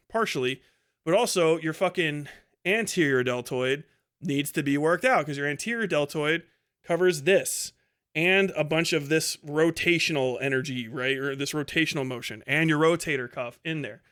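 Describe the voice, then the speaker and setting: A man with a voice pitched a little higher than most men's talks off the cuff as a live streamer. His delivery is animated and enthusiastic. He rambles casually and swears freely as he goes. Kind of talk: casual, rambling livestream chat.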